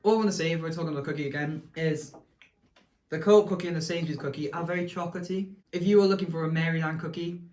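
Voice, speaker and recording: A man's voice: fairly high pitch, steady tone, very fast, darkish tone. A man from Wales with a Welsh accent, casually chatting as host of a food tasting video and giving emphatic, enthusiastic verdicts. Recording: roomy room echo.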